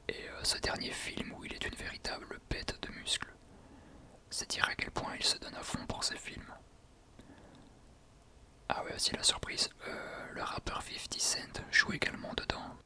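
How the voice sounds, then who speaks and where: A man hosts an ASMR talk entirely in a whisper. His voice is breathy and unvoiced, with no full tone behind it. He explains things gently and a little hesitantly, addressing the listener directly. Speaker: ASMR video creator, host.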